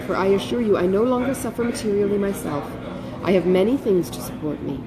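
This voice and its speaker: A woman voicing a self-controlled, dutiful elder sister in an audiobook, earnest, measured and restrained. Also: mid-range voice, close to an everyday voice, poor audio.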